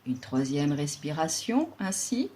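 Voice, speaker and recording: A woman leading a guided yoga relaxation as an instructor, speaking in a calm, soothing and deliberate way with long pauses. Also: low-pitched.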